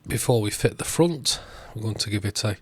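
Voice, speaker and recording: A man hosting a repair video, talking through the work step by step in short, unhurried phrases. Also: fairly low pitch, slightly rough. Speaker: host of electronics repair videos. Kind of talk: narrated repair walkthrough.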